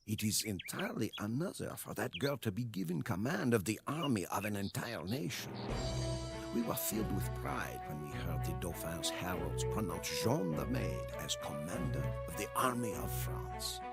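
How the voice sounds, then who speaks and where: An actor playing a solemn soldier chronicler, narrating a game story in a measured, grave and dramatic way. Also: male voice, fairly low pitch, sing-song, vocal fry, loud music behind the voice. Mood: solemn, reverent. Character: solemn French soldier chronicling a war as memoir.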